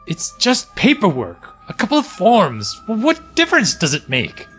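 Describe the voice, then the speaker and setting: A man's voice: high voice, huge pitch swings, moderate pace, fairly bright tone, faint music behind, full character voice. An actor playing a weary, frightened husband and father in an audio drama, pleading and defensive.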